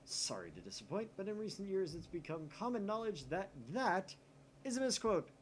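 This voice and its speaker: A man voicing a grandiose, excitable young mad scientist with a pompous, declamatory delivery in a visual novel. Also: high-pitched, normal speed, a little hiss or hum, voice pushed up. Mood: grandiose, boastful.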